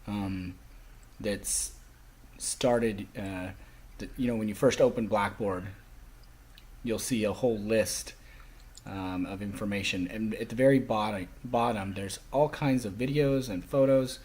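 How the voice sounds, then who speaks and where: A man voicing a classroom lecture as an interpreter, speaking emphatically in halting phrases with stressed key words. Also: fairly low pitch, a little mellow, breathy edge.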